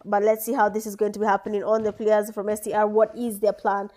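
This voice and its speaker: A woman's voice: medium pitch, monotone, quick pace, darkish tone. A young Kenyan woman giving chatty live commentary on an esports match, laughing often and mixing in Swahili. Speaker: esports caster and streamer.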